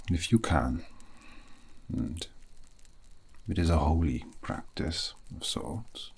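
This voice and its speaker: Guy doing a soft wistful elf mage asmr, super low gravelly whisper, breathy, polite old-fashioned wording, soothing. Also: heavily acted. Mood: soothing, instructive. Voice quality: breathy, husky, half-whispered. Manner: slow, formal, archaic, soothing phrasing. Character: gentle, courteous elven mage, wistful scholar of dreams.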